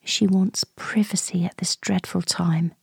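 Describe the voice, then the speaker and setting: Slow, husky woman narrating a radio drama. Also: fairly low pitch, fairly even intonation, no echo, in a whisper. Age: 40s. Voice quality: breathy, husky. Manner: short clipped phrases, hushed and confiding. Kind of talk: intimate first-person dramatic monologue, present tense.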